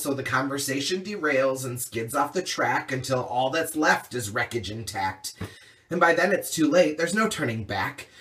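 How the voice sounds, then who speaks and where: Higher-voiced man reciting slam poetry. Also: fairly bright tone, distant mic. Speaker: slam poet.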